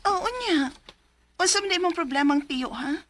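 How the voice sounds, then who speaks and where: An actress with a Cebuano accent playing a troubled young village mother in a radio drama, earnest and expressive. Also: extremely high pitch, normal speed. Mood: concerned, questioning. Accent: Cebuano Filipino. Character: troubled 21-year-old village mother telling her story. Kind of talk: radio drama acting and letter narration.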